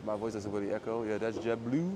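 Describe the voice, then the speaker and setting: A man with a low voice chatting casually and rambling to his livestream viewers as he walks through an airport terminal. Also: steady tone, fast. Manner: rambling, joking, talks quietly. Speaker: IRL livestreamer. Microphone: phone or camera carried while walking. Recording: noisy phone livestream audio.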